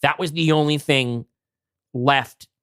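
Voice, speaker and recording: A man's voice: fairly high pitch, fairly even intonation, slow, a bit bright, clean tone, no echo, clean studio sound. A man co-hosting a podcast, talking casually and narrating a script he wrote. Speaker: podcast co-host and writer.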